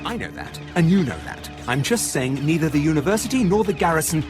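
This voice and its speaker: A man's voice: fairly high pitch, lively intonation, brisk, over music, close to an everyday voice. An actor playing an earnest male science professor in a video game, explaining things in an academic manner. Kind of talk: video game cutscene acting.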